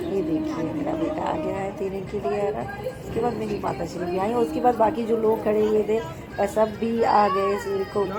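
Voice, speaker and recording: A hoarse woman in her thirties from central India, narrating a vlog outdoors on a rooftop and explaining the customs with devotion. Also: mid-range voice, warm tone. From Madhya Pradesh, India. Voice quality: husky. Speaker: vlogger.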